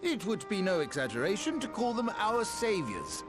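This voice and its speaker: An actor playing a nervous but high-minded middle-aged gentleman mayor in a video game, formal and oratorical with a tendency to stammer. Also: wildly swooping pitch, moderate pace, music in the background. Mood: earnest resolve.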